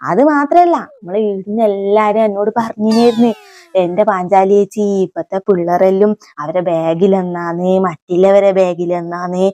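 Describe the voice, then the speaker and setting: A woman acts as a middle-aged village auntie from north Kerala, with a mid-pitched voice and a broad Kannur accent. She rambles on in a homely, folksy way, talking fondly to her viewers as if they were her children in a comic mock vlog. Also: mellow, dry recording, natural voice. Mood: chatty, explaining. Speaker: comic vlogger character.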